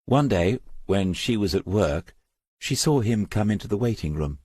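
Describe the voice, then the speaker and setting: A male narrator plays a resolute young mother who speaks frankly and bitterly in a crime audiobook. The voice is low for her and slightly husky, recorded close and dry. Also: animated, moderate pace, darkish tone, no echo. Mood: grave, resentful. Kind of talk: audiobook character dialogue.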